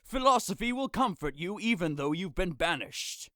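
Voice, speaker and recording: A man's voice: high-pitched, big pitch swings, moderate pace, fairly bright tone, dry recording, studio quality. A man playing an exasperated, scolding priest in a modern-language Shakespeare audio drama, speaking emphatically. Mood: stern, reasoning.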